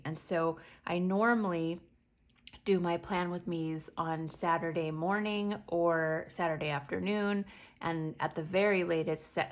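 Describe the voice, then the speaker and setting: A woman chatting through a plan-with-me vlog, her voice a little raspy from being sick, rambling along with frequent ums. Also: on the low side. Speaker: planner vlogger.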